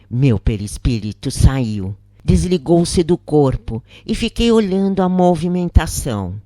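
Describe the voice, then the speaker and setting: Slow, lively-pitched storytelling narrator. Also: dry recording, studio quality. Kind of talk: scripted first-person narration of a spirit's story.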